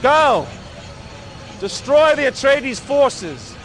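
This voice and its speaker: A very high-pitched cruel henchman. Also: very animated, extremely slow, a bit bright, faint music behind, exaggerated voice. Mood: urgent, commanding. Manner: emphatic, theatrical, gleefully cruel. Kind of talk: dramatic game cutscene acting. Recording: clearly noisy background.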